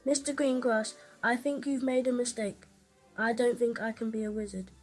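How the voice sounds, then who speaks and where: A woman acts out a young orphan boy with a high voice. He sounds earnest, polite and curious, like a child in a dubbed fantasy comic. Soft music runs underneath. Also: steady tone, moderate pace, natural voice. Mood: uncertain. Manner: earnest, simple, questioning. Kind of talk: scripted fan comic dub dialogue.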